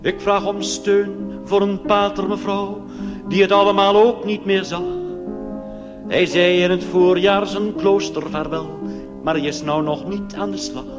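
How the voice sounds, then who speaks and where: A man's voice: high voice, little pitch movement, unhurried, over music. A man performing a theatrical cabaret song, half spoken and half sung, declaiming rhythmically and addressing his listeners directly. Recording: reverberant hall, loud musical accompaniment.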